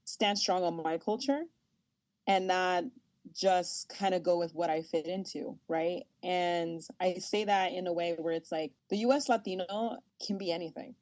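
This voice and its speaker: A young woman whose first language is Spanish talks in a loose, animated way, full of fillers and quick asides. Her voice sits a little low. The sound is dry and close. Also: no room sound. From United States, Salvadoran family. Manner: animated storyteller, lots of fillers and laughs. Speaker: guest, sports journalist and host. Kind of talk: casual interview talk.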